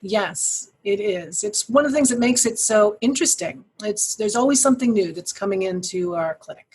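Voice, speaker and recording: A woman guest giving articulate, measured explanations as a sleep clinician. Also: mid-range voice, animated, darkish tone, breathy edge, poor audio. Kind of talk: explanatory interview answers.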